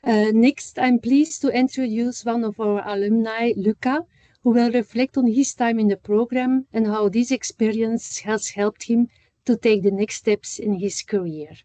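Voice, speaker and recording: Polite woman moderator, warm mellow voice. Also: a little high, fairly even intonation, a little slow, no echo. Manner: polite, introduces speakers. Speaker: session moderator. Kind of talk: moderating an info session.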